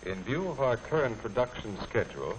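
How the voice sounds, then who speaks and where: An actor playing a calm, formal American office boss in a 1950s training film, speaking with restraint and deliberation. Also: male voice, mid-range voice, brisk, lightly acted, rough recording. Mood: restrained, businesslike. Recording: old film soundtrack, faint noise.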